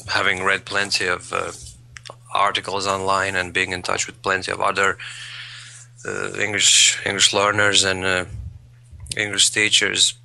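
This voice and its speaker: A man's voice: sits low, completely flat delivery, unhurried, creaky, bright, forceful tone, low-quality recording. A man, a guest in an interview, speaking thoughtfully and repeating words as he searches for phrasing. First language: Latvian. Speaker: guest, English learner. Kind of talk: conversational interview.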